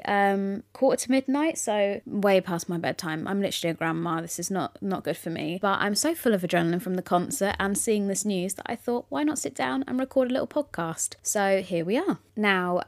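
A young woman hosting a solo podcast, chatty and excitable, talking straight to her listeners with a slightly croaky voice after a loud concert. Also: mid-range voice, dry recording, clean studio sound. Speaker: podcast host. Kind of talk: casual solo podcast chat.

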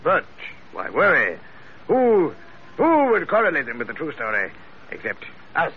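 Pompous, swooping schemer, old radio. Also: male voice, fairly high pitch, huge pitch swings, breathy edge, heavily acted. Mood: smooth, menacing. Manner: pompous, florid, deliberate. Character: wily, pompous British investigator posing as a smooth blackmailer. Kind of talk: 1940s-50s radio drama acting. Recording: muffled, noisy vintage radio recording.

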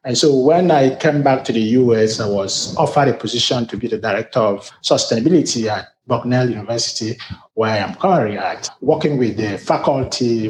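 A man's voice: medium pitch, echoey room, slight background noise, low-quality recording. A middle-aged man from Nigeria with a Nigerian accent, a university sustainability director giving earnest, formal interview answers with frequent restarts.

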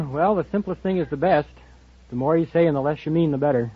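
A man's voice: on the high side, brisk, very noisy. An American man in his twenties answering questions in a matter-of-fact way as a contestant on a vintage radio show. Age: 20s. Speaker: quiz show contestant, greeting card writer. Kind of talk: interview answers. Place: radio studio before an audience. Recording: muffled vintage radio broadcast.

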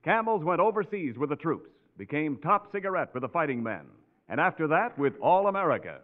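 A man's voice: on the high side, very animated, fairly quick, narrow, tinny audio. A man announcing in a polished, emphatic 1940s radio advertising style. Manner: polished, emphatic sales delivery. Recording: thin 1940s radio recording, faint music.

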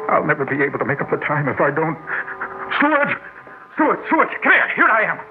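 A man's voice: a little high, sing-song, fast. An actor playing a desperate, demanding wealthy businessman in an old-time radio drama. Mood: frantic. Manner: demanding, pleading, frantic, urgent. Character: desperate, imperious wealthy businessman of sixty-two who looks young. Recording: noisy, narrow-band classic radio recording.

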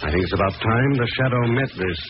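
An actor playing a calm, confident gentleman detective in a 1940s radio drama. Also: fairly low pitch, fast, natural voice. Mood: composed. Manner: composed, assured. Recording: noisy, muffled vintage radio broadcast recording.

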